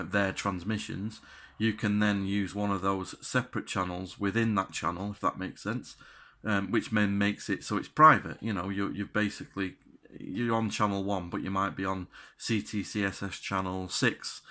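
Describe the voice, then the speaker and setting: A man talks through a product review off the cuff. His delivery is halting and conversational, with plain enthusiasm as he explains things. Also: low voice, bright, forceful tone. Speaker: radio gear reviewer.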